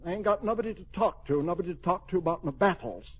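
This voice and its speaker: An actor playing an eccentric, boastful, lonesome old general in a 1940s radio comedy, with folksy, rambling, blustery talk. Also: male voice, high voice, expressive, fast. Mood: sheepish, forlorn. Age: older. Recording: dull vintage radio recording, audience, hiss.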